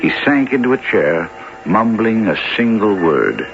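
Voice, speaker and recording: An actor playing a thoughtful, curious literary gentleman in a 1970s radio drama, speaking deliberately as he questions and narrates. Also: lowish voice, big pitch swings, vocal fry, full character voice. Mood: pondering, intrigued. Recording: dull 1970s radio recording with music.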